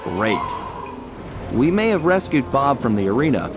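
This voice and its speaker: A man playing a suave, wry thief hero who narrates his own cartoon game story like a smooth storyteller. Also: mid-range voice, very animated, moderate pace, close to an everyday voice. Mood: determined. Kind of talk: scripted first-person story narration. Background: music.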